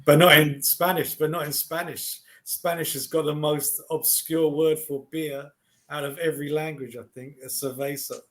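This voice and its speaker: A man, teaching over a video call in relaxed, chatty talk. He laughs often and trails off with fillers. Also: fairly high pitch, moderate pace. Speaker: online English tutor. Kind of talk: casual conversation lesson.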